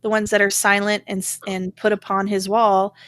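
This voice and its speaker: A woman hosting a casual talk show, chatting in a halting, wandering way. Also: mid-range voice, flat delivery, fairly bright tone, no room sound. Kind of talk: casual conversational commentary.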